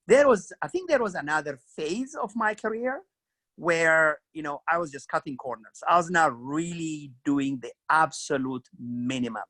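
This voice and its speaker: Bright-voiced man with an Ethiopian accent. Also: a little high, no room sound. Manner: earnest, storytelling, frequent "you know" and "kinda". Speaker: career mentor guest. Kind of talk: reflective career interview.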